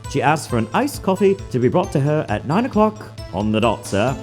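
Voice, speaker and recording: A man's voice: medium pitch, sing-song, fairly quick, a little mellow, natural voice. A man voicing a prim, obsequious head bellboy in a video game dub, overly formal and exact. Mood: proud, formal. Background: music.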